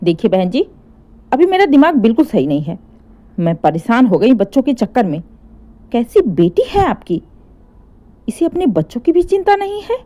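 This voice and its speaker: A woman voicing an angry, harried older mother-in-law in a story, complaining in a sharp, exasperated manner. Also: fairly high pitch, sing-song, normal speed, long pauses. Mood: exasperated, defensive.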